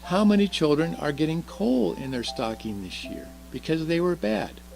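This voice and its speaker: A man voicing a curious, earnest little girl in a children's storybook, soft and chatty, asking and explaining eagerly. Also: fairly high pitch, lively intonation, moderate pace, gravelly, soft background music, pitched above the performer's own voice, poor audio. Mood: curious, surprised. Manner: eager questioning, explaining carefully. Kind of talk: children's storybook read aloud.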